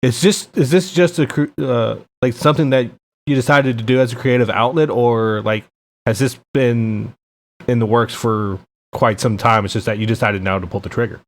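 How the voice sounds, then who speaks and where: A man's voice: mid-range voice, steady tone, moderate pace. A man hosting a casual podcast interview, asking teasing, easygoing questions. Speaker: podcast host.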